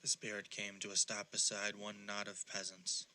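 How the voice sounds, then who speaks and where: An actor as the solemn narrator of a ghost story in an audio drama, reading scripted narration. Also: male voice, fairly low pitch, monotone, moderate pace, bright, forceful tone, slight background noise, low-quality recording.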